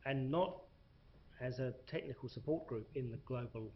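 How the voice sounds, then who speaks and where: A man's voice: mid-range voice, moderate pace. A man from South Africa makes his case on a panel, emphatic and full of restarts. The recording is muffled and lo-fi, with a faint hiss underneath. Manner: emphatic, restarts, hesitant fillers. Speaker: panel speaker, corporate reporting. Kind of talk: panel discussion.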